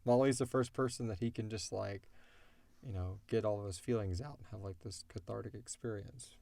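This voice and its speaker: A man co-hosting a casual question-and-answer chat, speaking in an animated way and swearing freely. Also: lowish voice, a little fast, gravelly. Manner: animated, swearing, acts out imagined dialogue. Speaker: co-host of an audio drama Q&A show.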